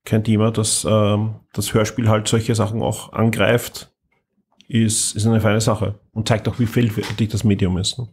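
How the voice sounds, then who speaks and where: A man's voice: on the low side, little pitch movement, measured pace, darkish tone, breathy edge. A man co-hosting a podcast, chatting casually and filling in facts and figures. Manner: informative, fills in facts and figures. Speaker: podcast co-host. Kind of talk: casual chat.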